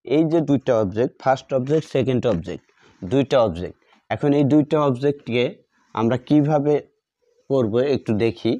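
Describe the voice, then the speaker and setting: A man's voice: medium pitch, normal speed, dark tone, highs cut off. A man teaching a grammar lesson step by step, dictating in short, repeated phrases as he writes.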